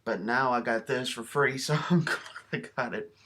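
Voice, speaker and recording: A man's voice: mid-range voice, normal speed, sharp tone, slight background noise. A man hosting a casual show-and-tell vlog in a folksy, plain-spoken, enthusiastic way. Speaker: video host showing a cassette collection.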